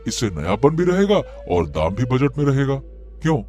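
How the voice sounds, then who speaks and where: An actor playing a hearty, supportive village farmer in a Hindi cartoon story, speaking emphatically. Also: male voice, mid-range voice, very animated, moderate pace, mellow, natural voice. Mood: thoughtful. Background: background music.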